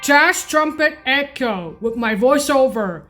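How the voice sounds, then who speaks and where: A woman giving an informal music tutorial in a halting, repetitive way, correcting herself as she goes. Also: high voice, lively intonation. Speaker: music practice video host. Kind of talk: informal spoken tutorial naming keyboard voice effects.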